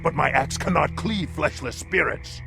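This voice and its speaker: Very gravelly, wary orc warrior. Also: male voice, fairly high pitch, normal speed. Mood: spooked. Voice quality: very gravelly growl. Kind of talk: dramatic video game acting. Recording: music behind the voice.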